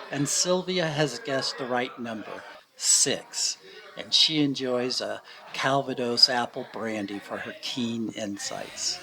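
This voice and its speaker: A man with a mid-pitched voice narrates a scripted radio drama. He tells the story in a measured way, setting out each scene as a storyteller would. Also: rough recording. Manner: measured storytelling in present tense, acting out brief quotes.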